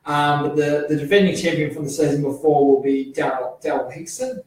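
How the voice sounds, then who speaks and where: A man hosting a speedway preview show, rambling with many fillers and self-corrections while his voice is going hoarse. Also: fairly high pitch, fairly even intonation, a little fast, darkish tone, faint music behind. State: losing his voice. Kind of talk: casual solo speedway preview. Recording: very echoey, from far off the mic.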